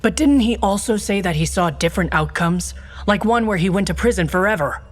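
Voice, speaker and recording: A woman's voice: mid-range voice, lively intonation, a little fast, lightly acted. An actress playing a serious, skeptical female secret agent with a dry, businesslike manner in a comedic video game.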